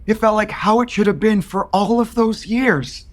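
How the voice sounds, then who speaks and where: An actor playing an earnest, lovestruck man in an audio soap opera, tender and emotionally open, with a slightly husky voice. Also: high-pitched, moderate pace, natural voice. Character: earnest, lovestruck, nervous man baring his heart.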